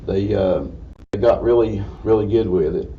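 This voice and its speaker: A man with a slightly raspy voice gives an informal history lecture in a folksy, halting way. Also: fairly low pitch, dark tone. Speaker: guest lecturer. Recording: distant mic, dull muffled sound, faint noise.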